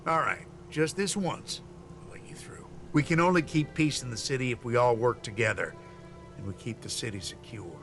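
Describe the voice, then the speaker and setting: A man's voice: mid-range voice, moderate pace, a bit bright, lightly acted. Officious city sentry for a game male actor; mid-range, rule-reciting, earnest and slightly credulous, over music. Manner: formal, earnest, recites rules. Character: officious male city gate guard, dutiful but gullible. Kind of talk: video game character dialogue.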